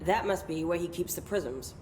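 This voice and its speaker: A stern, middle-aged commander from a video game, acted by a woman, her voice somewhat low. She is measured, businesslike and used to giving orders. A faint rumble sits behind her. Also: darkish tone, close to an everyday voice. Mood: firm. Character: stern, pragmatic woman head of operations. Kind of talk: video game dialogue acting.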